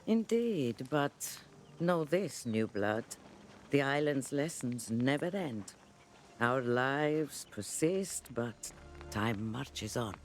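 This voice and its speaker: An actor playing a grim, blunt, world-weary warrior in a video game, speaking in a solemn, measured manner. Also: male voice, mid-range voice, big pitch swings, soft background music. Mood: foreboding. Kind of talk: video game cutscene acting.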